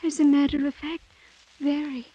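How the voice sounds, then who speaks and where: A woman's voice: sits high, little pitch movement, moderate pace, muffled recording, natural voice. An actress playing a proud, demure young French noblewoman in a radio drama, speaking formally and earnestly with dignity. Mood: troubled, hesitant. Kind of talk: 1940s radio drama acting.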